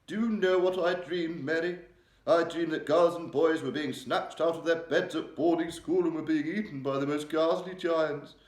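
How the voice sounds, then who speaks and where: A man's voice: fairly high pitch, fairly even intonation, normal speed, pitched above the performer's own voice, close to an everyday voice. A man voicing an elderly, regal queen with a refined, upper-class manner, given to alarmed exclamations. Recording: roomy echo.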